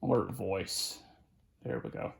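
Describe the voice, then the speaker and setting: A person giving a casual hands-on demonstration, halting and muttering to themself while working through each step. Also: deep voice, vocal fry, slightly rough. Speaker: video creator doing a product setup.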